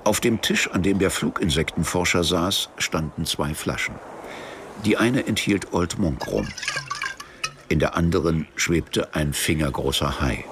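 An actor narrating a literary radio play in a measured, matter-of-fact, sombre way. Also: male voice, low-pitched, lively intonation, background noise. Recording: music behind the voice. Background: music.

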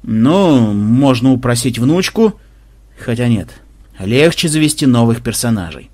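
A man voicing a gruff, weary male team leader with a curt, grumbling, commanding manner. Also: a little high, animated, normal speed. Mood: resigned grumbling.

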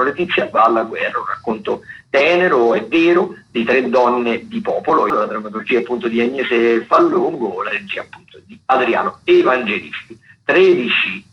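Male radio host, dull noisy sound. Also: medium pitch. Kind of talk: unscripted radio rundown of theatre listings.

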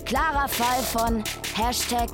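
A woman's voice: a little high, fairly even intonation, slow pace, over loud music. An actress playing a guarded, defiant young woman activist in a radio drama. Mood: defiant, sarcastic. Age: young adult. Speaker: actor. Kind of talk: radio drama dialogue and monologue.

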